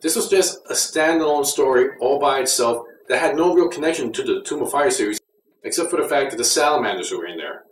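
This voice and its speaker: A man's voice: mid-range voice, expressive, a little fast. Guy doing a casual review, kinda critical, echoey room, sounds a bit far from the mic. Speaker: audio drama reviewer. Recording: roomy echo, distant mic, faint noise.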